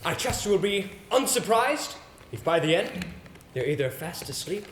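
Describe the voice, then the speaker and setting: An actor playing a pompous, exasperated theatre coach in an amateur stage play, with a sarcastic, emphatic delivery. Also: male voice, high voice, very animated, moderate pace, a bit bright. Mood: dry, reproving. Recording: roomy stage recording with faint noise.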